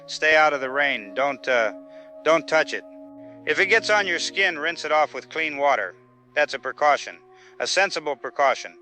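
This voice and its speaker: A man playing an evasive small-town sheriff in an audio drama, halting and repeating himself officiously. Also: on the high side, lively intonation, pushed and bright, faint music behind. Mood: officious, uneasy. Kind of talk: phone interview in audio drama newscast.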